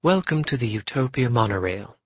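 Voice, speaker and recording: A polished, sophisticated female public address voice with a faint British accent, as a male narrator puts her on. She speaks smoothly and measuredly, recorded dull and dry. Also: mid-range voice, animated, fairly quick, no echo, natural voice. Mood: poised. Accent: trace of British. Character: cultured female PA announcer, rich and sophisticated.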